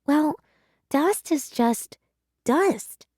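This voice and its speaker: Woman voicing an earnest, high-pitched storybook schoolgirl. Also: expressive, drawn-out words, darkish tone, no room sound, clean studio sound, full character voice. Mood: defensive. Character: earnest, defensive young schoolgirl who longs for a pet. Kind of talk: children's storybook read-aloud.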